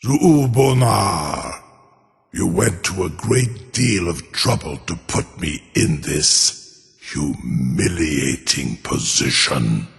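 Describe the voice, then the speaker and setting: An actor voicing an ancient, proud and cunning male dragon in a fantasy video game, speaking in a pompous, formal manner with a gravelly growl. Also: sits low, animated, exaggerated voice. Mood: wounded pride. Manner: slow, pompous, formal, savoring words. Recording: long cavernous reverb.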